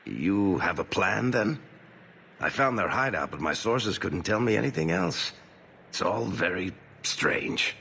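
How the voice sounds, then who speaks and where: Game companion: wisecracking dwarf rogue, lowish pitch, very animated intonation, reverberant recording. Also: male voice, a little slow, vocal fry, a little hiss or hum, heavily acted. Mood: dry, sardonic. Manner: sardonic, wisecracking. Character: wry, roguish male dwarf adventurer and storyteller. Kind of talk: conversational video game acting.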